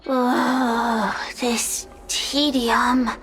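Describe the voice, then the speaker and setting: Languid, drawling bored spirit. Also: female voice, fairly high pitch, flat delivery, extremely slow, music in the background. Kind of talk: video game character acting.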